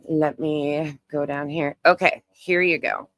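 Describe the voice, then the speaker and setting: Give a low-pitched woman's voice recorded close and dry. She speaks as an online creator doing off-the-cuff live commentary, repeating phrases for emphasis and narrating her own steps as she goes. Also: fairly even intonation, slow, a bit bright, dry recording. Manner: narrates own actions while browsing. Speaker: YouTube creator, host. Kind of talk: casual live commentary, screen share.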